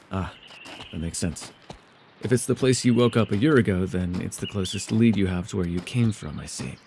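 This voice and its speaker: Casting: reclusive ancient male elf voiced by a man, mid-pitched, guarded, awkward and earnest, fantasy roleplay audio with faint ambient noise. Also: darkish tone. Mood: measured, inquisitive. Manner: halting, stammering, earnest.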